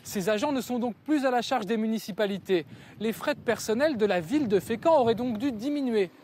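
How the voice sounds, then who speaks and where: High-pitched Frenchman narrating a report. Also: expressive, a little fast, darkish tone, slight background noise. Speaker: TV news reporter.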